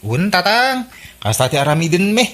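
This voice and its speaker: A man acting as an aggrieved married son with an Ilocano accent in a radio comedy drama, complaining in an animated way. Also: high-pitched, normal speed. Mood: worried, earnest.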